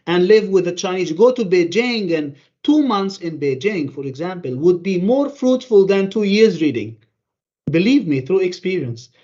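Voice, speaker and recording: Halting man presenting over lo-fi call. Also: sits high, darkish tone. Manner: halting, many fillers and restarts. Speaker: conference presenter. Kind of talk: online conference presentation. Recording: lo-fi online call audio.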